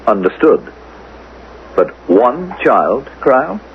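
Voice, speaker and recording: A man's voice: medium pitch, sing-song, slow. A man playing a cool-headed, skeptical agent in a futuristic radio drama, formal and questioning. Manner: formal, questioning, matter-of-fact. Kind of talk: 1980s radio drama acting. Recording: narrow-band old radio recording, faint noise.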